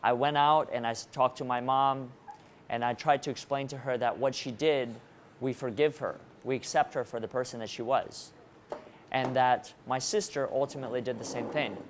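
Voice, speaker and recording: A man born in Russia speaks to a live audience in an engaging motivational style, often turning to the crowd with questions. His voice sits at a middle pitch. A faint hiss of room noise runs under it. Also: steady tone, moderate pace. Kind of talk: interactive presentation to a live audience.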